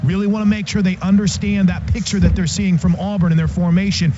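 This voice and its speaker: A man's voice: sits high, little pitch movement, fast talker. A man giving a live sideline report for a football radio broadcast. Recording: dull radio audio with stadium noise.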